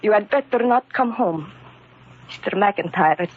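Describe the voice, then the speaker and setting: An actress playing an earnest, wounded immigrant wife with a foreign accent, speaking carefully and formally in an old-time radio drama. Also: female voice, mid-range voice, animated, normal speed, husky. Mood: cold, wounded. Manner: careful, formal, uncontracted speech. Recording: muffled, dull old radio recording.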